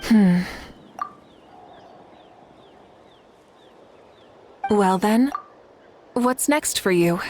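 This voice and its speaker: A woman voicing a composed, gracious female leader and warrior in a video game, speaking in a measured, courteous and dignified way. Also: medium pitch, animated, a little mellow, slight background noise. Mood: curious, amused. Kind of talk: scripted video game story dialogue.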